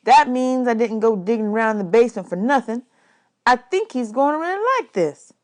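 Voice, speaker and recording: A woman's voice: on the high side, lively intonation, normal speed, darkish tone, voice pushed up, natural voice. A woman voicing an easygoing jazz bandman in a storybook, casual, friendly and slangy.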